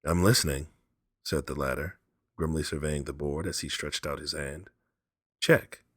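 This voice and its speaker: A man narrating a literary story in an expressive, deliberate, dramatic reading. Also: a bass voice, dry recording, clean studio sound.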